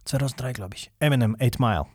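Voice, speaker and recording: Ein junger Mann aus Russland mit Russisch als Muttersprache erzählt als Interviewgast locker und weitschweifig, mit vielen Füllwörtern und selbstironisch. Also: male voice, medium pitch, fast, a little mellow, husky, no room sound, clean studio sound. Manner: rambling, self-deprecating, many fillers. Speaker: interview guest. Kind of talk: casual interview chat.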